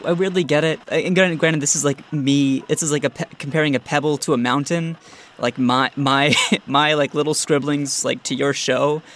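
Young man, higher-pitched, earnest interviewer. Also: steady tone, a bit bright. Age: young adult. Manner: earnest, enthusiastic fan, halting. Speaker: podcast interviewer, producer. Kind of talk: casual chatty interview.

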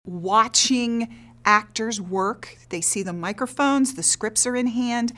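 A woman's voice: fairly high pitch, slow, sharp tone. A woman theater director explaining her work in an earnest, reflective interview. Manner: earnest, explanatory, checks with "right?".

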